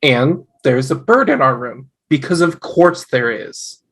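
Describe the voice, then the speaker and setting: Casting: exasperated young sitcom husband man reading, average pitch, sharp forward tone, wry and sarcastic. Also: sing-song, unhurried, breathy edge, heavily acted. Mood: sarcastic exasperation. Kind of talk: sitcom table read.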